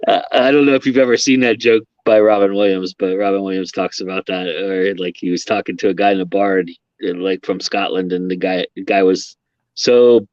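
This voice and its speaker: A man's voice: low-pitched, fairly even intonation, brisk, a little mellow, dry recording, lo-fi sound. A man hosting a podcast interview, chatting casually, laughing often and rambling with restarts. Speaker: podcast host.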